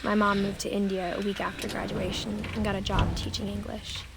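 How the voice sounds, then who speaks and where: An actress playing a young woman calmly narrating her family's past in a video game, with a slightly gravelly, low-key voice. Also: a little fast, background noise, natural voice. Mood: wistful.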